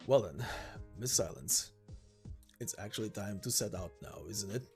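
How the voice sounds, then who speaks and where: Man doing a low-voiced, courteous big man. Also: animated, normal speed, a little mellow, faint music behind. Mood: gentle, courteous. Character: big, courteous, reserved man, a prison escapee. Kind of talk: game story reading.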